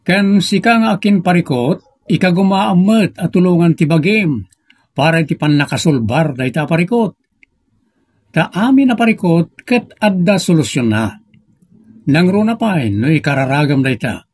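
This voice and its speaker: A man with an Ilocano accent narrates a scripted moral message. His voice is high and husky, with an earnest, exhorting delivery in a dry recording. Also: animated, normal speed, darkish tone, no room sound. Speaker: drama narrator. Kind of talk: scripted moral advice to listeners.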